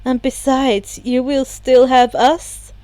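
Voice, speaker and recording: Gentle theatrical gentleman villain, read by a woman, formal, deliberate, reassuring, audiobook with dry sound. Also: high voice, fairly even intonation, no room sound.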